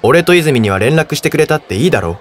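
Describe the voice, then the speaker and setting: An actor playing a serious, self-assured, studious teenage schoolboy in a voice drama, speaking tersely and directly. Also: male voice, fairly high pitch, lively intonation, fast talker. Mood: grave. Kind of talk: anime-style voice drama acting.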